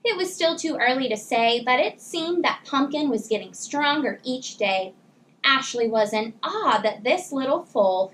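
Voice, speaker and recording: Female storyteller, high voice, teacher-style expressive read-aloud for kids, slightly noisy recording. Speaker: teacher reading a children's book aloud.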